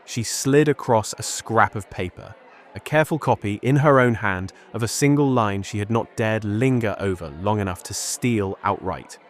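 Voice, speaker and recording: A man's voice: on the low side, normal speed, natural voice. An actor with a British accent playing a guarded 1930s Scotland Yard policeman in a detective drama, restrained and formal. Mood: grave, restrained. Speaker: character and narrator. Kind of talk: detective audio drama acting.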